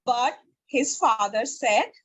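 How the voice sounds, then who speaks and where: A woman narrating a story in a reader's theatre, reading aloud slowly with careful, halting phrasing. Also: fairly high pitch, a bit bright.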